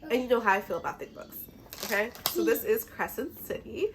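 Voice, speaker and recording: A woman's voice: fairly high pitch, animated, fairly quick, gravelly, noisy background. A woman who is a little sick chats casually and enthusiastically to camera as a book vlogger, in an informal way.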